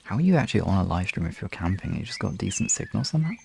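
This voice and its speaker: Man, deep voice, crackly fry, casual livestream chat, rambling, mildly sweary, noisy background. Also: very animated, very fast, a little mellow. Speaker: live streamer.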